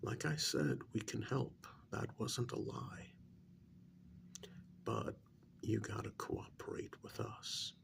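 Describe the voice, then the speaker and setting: A man playing a calm government agent interrogating a captured werewolf in a soft-spoken ASMR role-play, breathy and often near a whisper, measured and quietly coaxing. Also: fairly low pitch, slight background noise, exaggerated voice.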